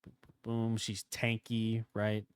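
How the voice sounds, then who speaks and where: A man with a slightly hoarse voice from a starting cold, casually commenting on his gameplay as he thinks aloud. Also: fairly low pitch, slow, no echo. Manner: rambling, relaxed, thinking aloud. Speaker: gaming channel host.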